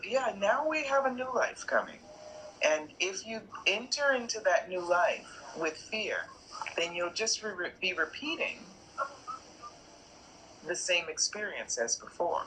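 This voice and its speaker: A woman guest on an online live call, speaking in a slow, reflective coaching style and posing questions to listeners. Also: lowish voice, lively intonation, pushed and bright, a little hiss or hum, poor audio. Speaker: workshop leader, interview guest.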